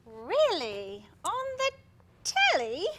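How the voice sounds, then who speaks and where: Woman acting an expressive, high-voiced, eager hairstylist. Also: takes it slowly, heavy background noise, exaggerated voice. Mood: affected, chirpy. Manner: theatrical, expressive. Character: Betty, eager woman hairstylist feigning a posh British accent. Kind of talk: staged reading of a stage comedy.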